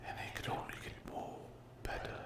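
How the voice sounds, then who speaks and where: A man playing a menacing, hungry sleep paralysis demon in a whispered ASMR roleplay, breathy and deliberate, taunting the listener. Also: echoey room, natural voice. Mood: hungry, savoring. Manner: very slow, deliberate, menacing, with long pauses.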